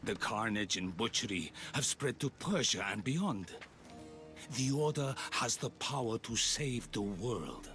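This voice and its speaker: An actor playing an aging, zealous Persian leader of a secret order in a video game, speaking in a grandiose, deliberate manner. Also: male voice, medium pitch, animated, fairly bright tone, soft background music. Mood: solemn conviction. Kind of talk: video game cutscene acting.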